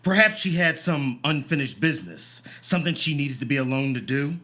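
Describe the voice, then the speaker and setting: An actor playing a sardonic, insinuating police detective in a radio play, needling and mock-polite. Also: male voice, mid-range voice, animated, a little fast, bright, forceful tone. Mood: probing, mock-polite.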